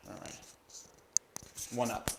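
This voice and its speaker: A man with a loud, resonant voice hosting a cocktail livestream, chatting casually and answering viewer questions. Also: slow, slight background noise. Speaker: cocktail channel host.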